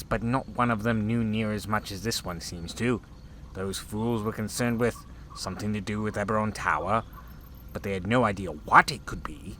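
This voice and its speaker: A man's voice: fairly low pitch, a bit bright, a little hiss or hum, close to an everyday voice. A man playing an anxious, self-important chief officer in a sci-fi audio drama, agitated and pompous. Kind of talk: full-cast sci-fi audio drama acting.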